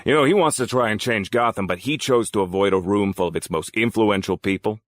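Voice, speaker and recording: A man's voice: fairly low pitch, a little fast, no echo, close to an everyday voice. A man playing a cold, menacing crime boss in an audio drama, speaking in a controlled, condescending and sardonic way. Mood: dry, sardonic. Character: cold, menacing male crime boss hosting powerful guests. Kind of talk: scripted audio drama dialogue.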